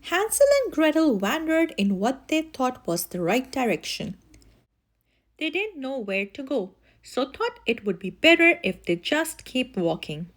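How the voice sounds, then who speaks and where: A woman reading a children's story aloud in an expressive way, with animated, dramatic emphasis. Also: high voice, measured pace. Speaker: children's story reader and channel host.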